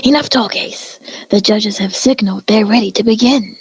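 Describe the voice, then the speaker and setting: A woman voicing an excitable cartoon pony sports announcer, delivering play-by-play style commentary. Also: mid-range voice, normal speed, breathy edge, no room sound. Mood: chatty commentary.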